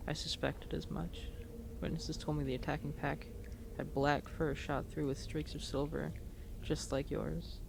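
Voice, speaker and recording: Woman acting a creaky, low-voiced hardened huntress. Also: level tone, background noise. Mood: accusing. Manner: deliberate, menacing, articulate interrogation. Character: hardened, contemptuous werewolf huntress grieving her missing friend. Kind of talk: scripted audio roleplay, dramatic monologue.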